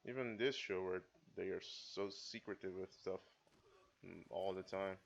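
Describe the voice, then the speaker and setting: Low-voiced man, stoic anime reactor. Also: moderate pace, creaky. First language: not English. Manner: self-described stoic, muttering asides and apologies. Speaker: anime reaction video host. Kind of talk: casual reaction commentary. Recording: dull lo-fi sound.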